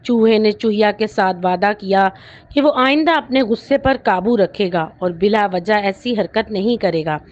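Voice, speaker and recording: A woman's voice: mid-range voice, normal speed, a little mellow. A woman narrating a scripted children's story as a storyteller. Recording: dull lo-fi audio with clear noise.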